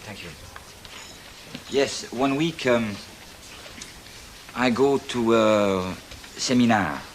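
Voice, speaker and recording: An actor playing a French visitor with a French accent, speaking halting, formal English and searching for words. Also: male voice, mid-range voice, a little hiss or hum. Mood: polite, halting.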